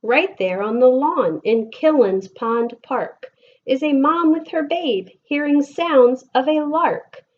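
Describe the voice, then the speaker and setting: American female storybook reader, very unhurried, expressive phrasing, slightly muffled lo-fi recording. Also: sits high, slight background noise. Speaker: elementary school teacher reading aloud. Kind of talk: picture-book read-aloud for children.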